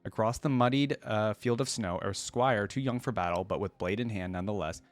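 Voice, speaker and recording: A man's voice: on the low side, a little fast, soft background music. A man hosting a reaction video, switching between casual commentary and reading aloud.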